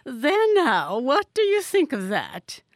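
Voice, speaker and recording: A woman's voice: high-pitched, wildly swooping pitch, slow pace, dry recording, studio quality, voice pushed up, exaggerated voice. Woman putting on a grand, condescending old lady. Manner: gracious, patronizing, grand. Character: stout elderly haughty condescending lady.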